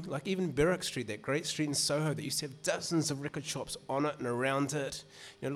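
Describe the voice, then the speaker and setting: A man with a New Zealand accent, an author telling a live audience in casual, rambling talk full of restarts. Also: on the high side. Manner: chatty and rambling, many restarts and "you know". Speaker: author reading at a literary event.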